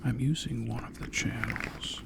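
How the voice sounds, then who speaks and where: A man speaking in a soft, breathy whisper, chatting casually and low-key as an ASMR host. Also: little pitch movement, measured pace, heavy background noise. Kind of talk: soft-spoken ASMR, rambling casual chat.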